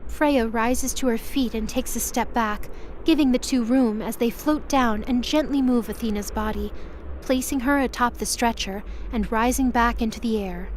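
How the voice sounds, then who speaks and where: A woman's voice: on the high side, normal speed, a little hiss or hum, low-quality recording. A woman reading scripted, dramatic story narration for an audio drama.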